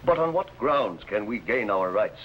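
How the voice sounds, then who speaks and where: An actor playing a grave, indignant medieval baron, speaking formally and declaiming in 1950s television drama style. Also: male voice, on the low side, lively intonation, moderate pace, lightly acted. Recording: dull, faintly noisy old TV soundtrack.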